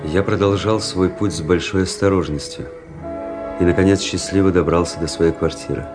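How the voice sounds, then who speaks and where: An actor playing a weary, cynical young army officer who narrates a radio drama in a measured, introspective way. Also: male voice, low voice, fairly even intonation, lightly acted. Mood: reflective, measured. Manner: introspective, world-weary, measured literary delivery. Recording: music under the voice.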